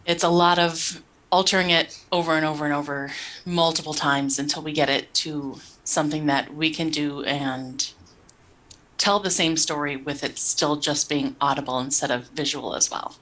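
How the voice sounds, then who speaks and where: A woman with a very deep voice for a female speaker. She explains her work conversationally, as a member of a panel. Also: steady tone, normal speed, fairly bright tone. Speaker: radio play script editor.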